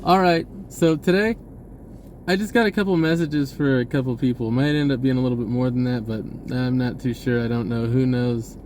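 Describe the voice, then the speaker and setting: A man's voice: mid-range voice, fairly even intonation, brisk, darkish tone, slight background noise. A man giving a rambling, spontaneous message in an informal, hesitant way, often rephrasing as he goes. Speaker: online video creator.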